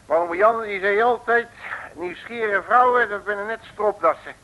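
An actor playing a cocky, folksy detective in an old Dutch radio drama, slangy and boastful. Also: male voice, high-pitched, normal speed, muffled recording. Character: cocky, folksy male detective, fond of his uncle's sayings. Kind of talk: 1950s-60s Dutch radio drama acting. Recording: noisy old radio recording.

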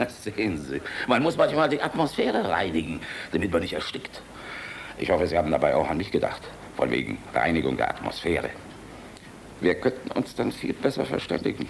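A man's voice: low voice, sing-song, moderate pace, vocal fry, a little hiss or hum, full character voice. An actor playing a boisterous, cynical, fatherly middle-aged general in a German radio play, hearty and teasing, commanding one moment and confiding the next. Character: boisterous, jovial, cynical Luftwaffe general, fatherly toward young officers.

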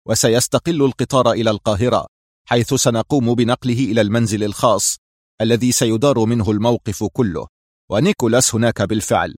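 A man's voice: on the low side, no room sound, studio quality, lightly acted. A man playing a stern, mysterious boss of a criminal organisation, curt and firm, in a story reading. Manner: curt, firm, cold authority.

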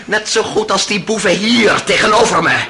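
An actor playing a feverish, half-mad young intellectual on the witness stand, sardonic and erratic, in a radio play. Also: male voice, sits high, moderate pace, creaky. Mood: bitter, mocking. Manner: sardonic, erratic, theatrical, with bitter laughter. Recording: noisy old radio play recording.

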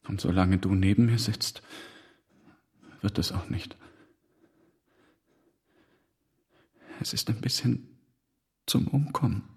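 An actor playing a melancholy German male teacher in a radio drama, with a halting, brooding manner. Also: on the low side, darkish tone, slightly rough, full character voice. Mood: stunned grief. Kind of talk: literary radio drama acting.

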